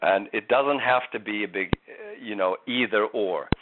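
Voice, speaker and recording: A man's voice: fairly low pitch, moderate pace. A man giving a one-on-one singing lesson, explaining step by step in a patient way. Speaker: voice coach. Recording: tinny call line, faint hiss.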